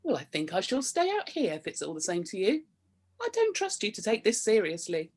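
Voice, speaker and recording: An actress playing a prim, suspicious middle-aged wife in a comic radio play, scolding and sarcastic. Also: female voice, mid-range voice, huge pitch swings, fairly quick, breathy edge.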